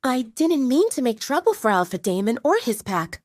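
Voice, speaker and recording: A woman's voice: on the high side, big pitch swings, normal speed, dry recording, studio quality, voice pushed up. A woman voicing a timid, uncertain young female werewolf with an apologetic manner in an audio drama. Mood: worried, apologetic.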